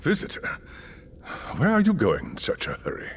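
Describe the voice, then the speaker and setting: An actor playing a fussy, courteous maester in a video game, speaking formally in a creaky voice. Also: male voice, a little high, huge pitch swings, a bit bright, exaggerated voice. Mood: politely fussy. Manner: fussy, formal, measured politeness. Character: fussy, courteous older maester, a male scholar. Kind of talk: video game cutscene acting. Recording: dull audio with faint ambient noise.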